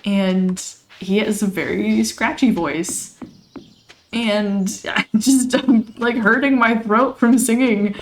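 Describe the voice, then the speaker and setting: A chatty woman, somewhat hoarse from losing her voice, rambling through casual gameplay commentary with frequent laughs. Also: mid-range voice, takes it slowly, slight background noise. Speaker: let's play host. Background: game music.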